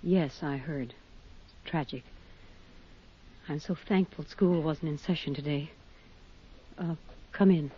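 A woman's voice: deep voice, slow pace, pauses often. Weary, evasive female principal, formal and curt, on a muffled, noisy old radio recording. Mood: wary. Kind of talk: 1950s radio drama acting.

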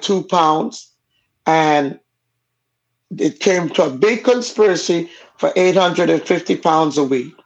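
A woman's voice: on the low side, darkish tone. A woman with a Jamaican accent, whose first language is Jamaican Patois, frankly telling her own story as a guest in an interview. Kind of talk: personal storytelling in a casual interview. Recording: dull lo-fi audio.

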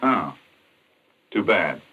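An educated, proper doctor in a vintage radio mystery. His mid-pitched voice is bright, earnest and lively in intonation, heard through a dull, lo-fi broadcast recording. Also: extremely slow, husky, exaggerated voice. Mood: polite, curious. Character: educated, polite doctor drawn into a deadly mystery. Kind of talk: old-time radio drama acting.

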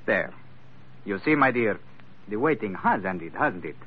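An actor playing a suave, courteous villain with formal, hesitant politeness in an old radio drama. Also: male voice, lowish voice, big pitch swings, unhurried, breathy edge, full character voice. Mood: polite, oily. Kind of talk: 1940s radio acting. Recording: tinny, noisy old radio recording.

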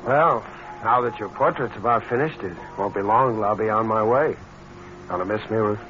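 An American actor playing a smooth-talking, scheming portrait painter with a hard edge in a 1940s radio drama. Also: male voice, fairly low pitch, moderate pace, bright, forceful tone. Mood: smooth, flirtatious. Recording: muffled, noisy old radio recording.

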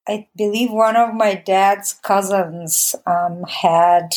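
A woman's voice: fairly low pitch, darkish tone. Reflective woman. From Bulgaria. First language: Bulgarian. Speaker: interview guest, documentary filmmaker. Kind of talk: conversational personal recollection.

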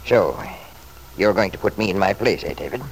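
A man's voice: low voice, animated, normal speed, creaky, slight background noise, poor audio. An actor playing a selfish, scornful wealthy father in an old-time radio drama, blustering and sarcastic.

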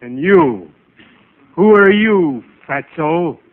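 A man's voice: fairly high pitch, animated, very slow. An actor playing an angry, menacing gunman villain in a 1940s radio comedy, blustering out his threats. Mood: bullying. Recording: noisy, muffled old radio recording.

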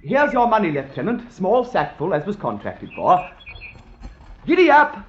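High, brusque carriage-driving groom. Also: male voice, huge pitch swings, unhurried, full character voice. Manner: curt, commanding. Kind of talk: old-time radio drama acting. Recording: muffled, echoey, noisy vintage radio recording.

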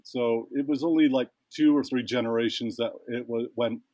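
A man's voice: mid-range voice, fairly even intonation, normal speed, warm tone, no room sound. Thoughtful man telling a long story. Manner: thoughtful, meandering, trails off and restarts. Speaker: guest, ritual tattoo practitioner. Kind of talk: storytelling in a conversation.

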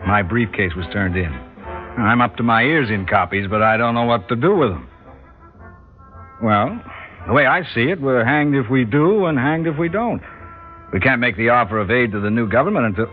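A man's voice: mid-range voice, lightly acted. A man playing a harried American diplomat, informal and wry, talking as if on the phone in a classic radio drama. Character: harried American diplomat weighing a no-win choice. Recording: dull old radio recording.

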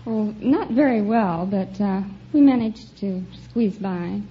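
A young woman, a quiz contestant, answering in a hesitant, soft-spoken way. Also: medium pitch, takes it slowly. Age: young adult. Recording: muffled old radio, loud audience and noise.

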